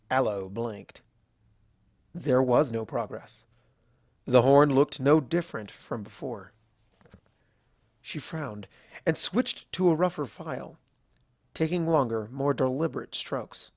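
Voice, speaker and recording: A man's voice: medium pitch, gravelly, no room sound, clean studio sound. A man reading scripted fiction aloud as the narrator of a story.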